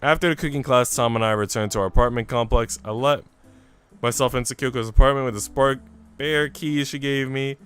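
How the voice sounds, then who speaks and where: A man's voice: medium pitch, steady tone, brisk, clear, resonant tone. A man streaming a visual novel, moving between story narration and casual chat with viewers. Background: soft background music.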